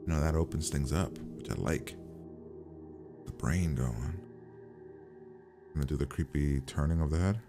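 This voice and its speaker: A man's voice: extremely low pitch, moderate pace, pauses often, over music. A man giving casual, profane reaction commentary in a blunt, slangy way. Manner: blunt, slangy, swears constantly. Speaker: host reacting to a TV episode.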